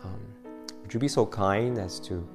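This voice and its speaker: A calm male teacher who speaks gently and softly in a low voice, as a preacher acts him out. The stays present, and faint guitar plays behind him. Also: moderate pace, close to an everyday voice. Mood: gentle. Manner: soft, gentle and friendly. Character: calm, friendly male teacher asking for water.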